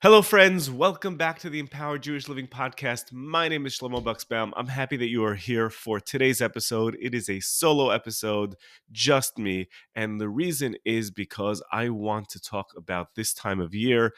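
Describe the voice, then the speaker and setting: An American man who is sniffly with a cold, hosting a solo podcast and teaching in an informal, halting way, rephrasing as he goes. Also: medium pitch, fairly bright tone, no room sound, studio quality. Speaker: podcast host and religious teacher.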